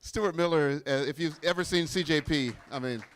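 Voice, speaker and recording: A man's voice: fairly high pitch, normal speed. A middle-aged man, a bandleader and composer, talking casually and animatedly to a live audience, often restarting his sentences.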